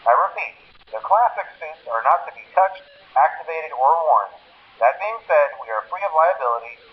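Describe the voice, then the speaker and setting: Over a dull, slightly noisy phone recording comes an awkward corporate trainer from a video game. His voice sits fairly high and swings around in pitch. He stumbles politely into each message, then delivers procedures with deadpan composure. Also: moderate pace. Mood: awkward, matter-of-fact. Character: nervous, cheerful corporate phone trainer at a pizzeria. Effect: telephone filter. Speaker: employee training caller. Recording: muffled lo-fi phone recording with faint noise.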